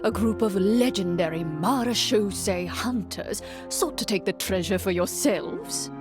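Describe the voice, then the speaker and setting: A woman voicing a composed young female game master who narrates in a measured, formal, deadpan manner. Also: medium pitch, lightly acted. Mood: solemn narration. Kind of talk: scripted tabletop-style narration in a game. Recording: faint music behind the voice.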